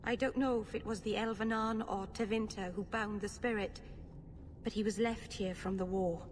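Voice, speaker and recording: An actress playing an earnest, emotionally open young elf mage in a dramatic video game. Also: female voice, mid-range voice, steady tone, moderate pace, close to an everyday voice. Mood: uneasy, solemn. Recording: long reverb, faint background noise.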